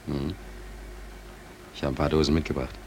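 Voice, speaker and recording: A low-pitched, embittered merchant seaman in a 1940s radio drama. He broods and insists in halting phrases. The old recording carries a light layer of noise. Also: flat delivery. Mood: hushed, uneasy. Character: embittered, hungry merchant seaman on a death ship. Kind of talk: 1940s German radio play acting.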